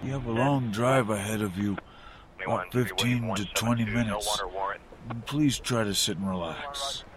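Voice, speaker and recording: A man's voice: lowish voice, measured pace, crowd noise, lightly acted. A man playing a courteous, slightly hesitant police driver in an audio drama. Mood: polite, official. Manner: courteous, official.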